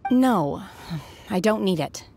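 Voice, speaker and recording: A woman playing a cool, aloof young warrior in a video game, calm and detached, with quiet menace. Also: medium pitch, slow pace, creaky. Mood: cold, firm. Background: background music.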